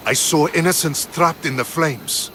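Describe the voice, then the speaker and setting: A man playing a principled, measured Spartan soldier in dramatic video game dialogue. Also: a little high, bright, forceful tone, slight background noise.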